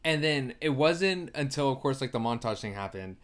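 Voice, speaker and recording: A man's voice: mid-range voice, animated, moderate pace. A man co-hosting a movie review podcast, talking casually and loosely as he searches for words. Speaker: podcast co-host. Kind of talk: casual film review chat.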